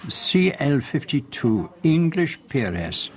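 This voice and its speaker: An actor playing a shrewd, courteous middle-aged detective with a French-speaking Belgian accent, speaking formally and deliberately in a radio drama. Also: male voice, fairly high pitch, big pitch swings, full character voice. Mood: musing, shrewd.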